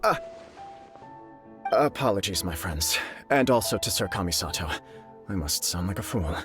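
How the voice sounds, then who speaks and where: A man's voice: low-pitched, lively intonation, moderate pace, over music. A man voicing an earnest young man newly engaged, polite, a bit bashful and rambling. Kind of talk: video game voice acting.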